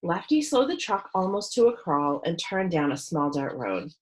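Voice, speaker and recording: Expressive woman reading a children's story. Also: lowish voice, moderate pace. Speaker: read-aloud storyteller. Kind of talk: expressive children's book narration.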